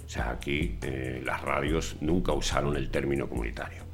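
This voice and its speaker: An older man, a community radio veteran, answering interview questions in an unhurried way with many hesitations. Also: a bass voice, steady tone, music in the background.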